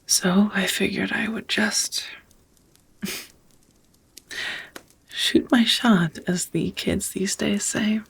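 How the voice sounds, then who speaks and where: Casting: actress as ancient female vampire, tender and hesitant, for romantic ASMR roleplay; very husky whisper, unhurried intimate delivery. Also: mid-range voice, steady tone, heavily acted. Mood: nervous, loving. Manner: slow, hesitant, intimate, slightly old-fashioned phrasing.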